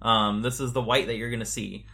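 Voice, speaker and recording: A man's voice: medium pitch, little pitch movement, fairly quick, creaky, pushed and bright. A man co-hosting a podcast, explaining things in an informal, matter-of-fact way in casual conversation. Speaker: podcast co-host.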